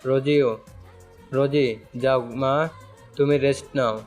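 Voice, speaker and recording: A man's voice: mid-range voice, steady tone, takes it slowly, a little mellow, natural voice. A man playing a protective middle-aged father, loving but stern, in an audio story drama with music behind him. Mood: tender.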